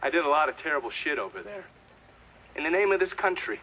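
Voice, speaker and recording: A man's voice: on the high side, lively intonation, fast talker, lo-fi sound. An American man acting a hard, bitter, middle-aged Vietnam veteran giving confrontational, profane testimony in a game cutscene. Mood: bitter, intense. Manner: profane, confrontational, storytelling at length. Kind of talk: dramatic game cutscene monologue.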